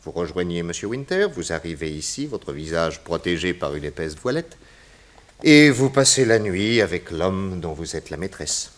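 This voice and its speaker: An actor playing a self-assured, logical private detective, delivering measured deductions in a theatrical 1960s radio crime drama. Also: male voice, deep voice, sing-song. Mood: confident, accusing.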